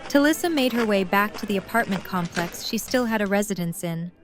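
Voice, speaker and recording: A woman's voice: medium pitch, animated, brisk, background noise. A woman narrating a fan audio drama, reading scripted story prose.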